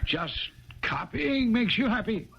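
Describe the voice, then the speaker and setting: An imperious, exasperated official with a high, forceful voice that swings wildly as he blusters. It is pompous old-time radio drama from the seventies, on a muffled broadcast with some hiss. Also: expressive, measured pace, gravelly, exaggerated voice. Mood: impatient, incredulous. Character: exasperated, imperious male Russian government official. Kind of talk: 1970s radio drama acting.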